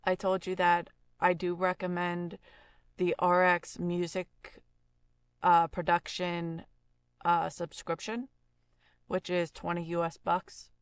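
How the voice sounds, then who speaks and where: A woman narrating a casual software tutorial as an audio instructor, explaining informally and thinking aloud. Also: lowish voice, monotone, slow, clean tone, no room sound.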